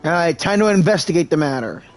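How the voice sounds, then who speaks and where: A man's voice: a little high, expressive, fast talker, faint music behind. A man doing livestream game commentary and voicing along as a character. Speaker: game streamer.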